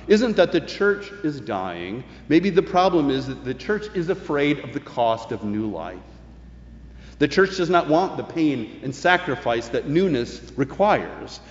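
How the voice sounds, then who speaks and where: A male pastor delivers a sermon with earnest, measured phrasing. His pitch rises and falls in a lively way as he leans on key phrases. The sound carries the long, echoing reverb of a big hall. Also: muffled recording. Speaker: pastor preaching.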